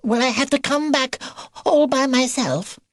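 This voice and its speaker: A man voicing a small, proud and excitable young beaver with a squeaky, babyish way of talking, for a storybook. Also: unhurried, dry recording, clean studio sound. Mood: worried. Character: little boy beaver, eager, proud, bouncy grandson.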